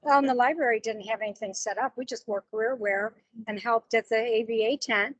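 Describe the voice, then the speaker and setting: A woman's voice: on the high side, fairly even intonation, fairly quick, no room sound. A woman who is a business association member, talking informally in a meeting discussion on a muffled recording.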